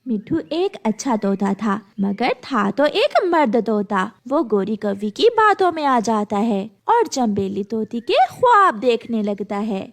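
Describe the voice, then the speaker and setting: Female children's story narrator; high pitch, animated, scripted storytelling. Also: a little slow, hardly any pauses.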